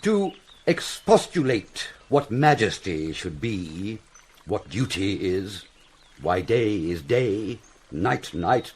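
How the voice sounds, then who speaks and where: Fussy older court counsellor, husky, animated pitch, pompous, wordy, self-satisfied, classical radio drama. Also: male voice, takes it slowly, full character voice. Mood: pompous, deliberate.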